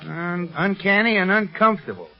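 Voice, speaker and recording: An actor playing an excitable, good-natured, grumbling comic sidekick in a 1930s radio adventure serial, with slangy, exclamatory delivery. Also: male voice, high voice, moderate pace, creaky. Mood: comically aggrieved. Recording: muffled old radio recording with music.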